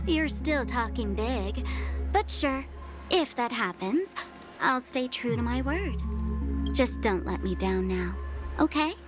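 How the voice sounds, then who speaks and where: Over clear background music, a mischievous, dangerous trickster for a video game. Her highish voice lilts and swoops as she taunts, coy and unhurried. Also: very animated, full character voice. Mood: amused, taunting. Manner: coy, sing-song taunting. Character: playful, mocking female trickster with a dangerous streak. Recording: music under the voice.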